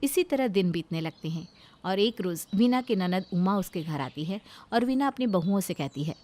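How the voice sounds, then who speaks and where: A woman's voice: medium pitch, lively intonation, moderate pace, mellow. A woman reading scripted narration for a children's cartoon story.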